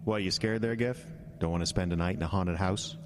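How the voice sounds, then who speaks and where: An actor playing a smug, glib government bureaucrat with a sardonic, officious manner in a radio drama. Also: male voice, deep voice, brisk, lightly acted.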